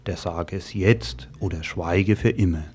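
A man's voice: low-pitched, a little slow, a little mellow, breathy edge. A man voicing solemn quoted figures, a pastor and wedding witnesses, in a scripted radio commentary.

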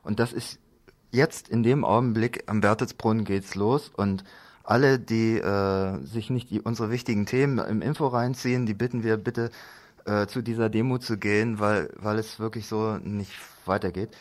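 A man hosting a radio news magazine, introducing it in a halting, loosely phrased, unscripted way. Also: on the low side, fairly even intonation.

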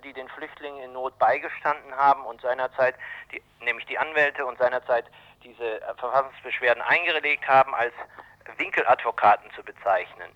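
Dull lo-fi radio sound; male interviewee, medium pitch, blunt and emphatic, halting. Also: sharp tone. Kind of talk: spoken opinion in a radio interview.

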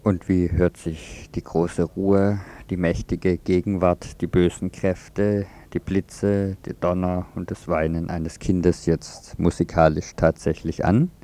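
A man presenting on radio, reading scripted narration and poetry in a measured, solemn manner. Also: low voice, flat delivery, dark tone.

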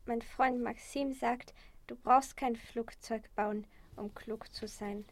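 Woman playing a halting, dreamy boy in echo. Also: on the high side, fairly even intonation. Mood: gentle, hopeful. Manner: halting, earnest, simple phrasing with repetitions. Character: guileless, dreamy mute boy who has found speech. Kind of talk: youth radio play acting. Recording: long echoing reverb.

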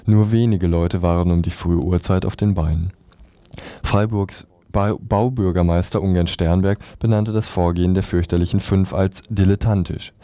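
A man's voice: deep voice, dark tone, no room sound. A man presenting scripted news on the radio.

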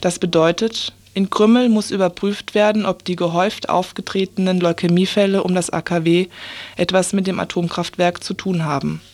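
A woman's voice: lowish voice, steady tone, normal speed, darkish tone, no room sound. A woman reading scripted news as a radio presenter.

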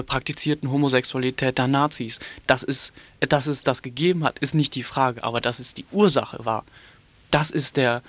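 A man giving critical radio commentary in a halting, sardonic and polemical manner, with frequent hesitations. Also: medium pitch, a bit bright, dry recording.

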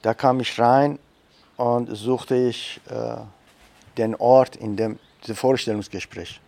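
A man's voice: mid-range voice, steady tone, slow pace, vocal fry, a little mellow, no echo. Man telling a personal story, close dry sound. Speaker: education expert and trainer.

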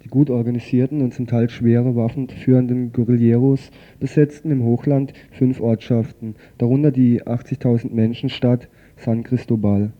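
A man's voice: medium pitch, fairly even intonation, normal speed, few pauses, narrow, tinny audio. A man reading a scripted radio news report as presenter.

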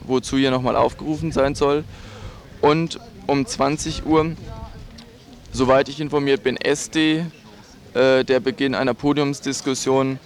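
A man giving interview answers in formal, wordy phrasing. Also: mid-range voice.

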